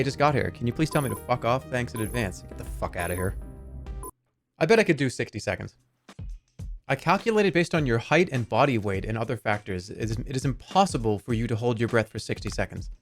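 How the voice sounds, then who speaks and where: A man in his thirties streaming a game, chatting casually in a rambling, sarcastic way and swearing freely. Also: mid-range voice.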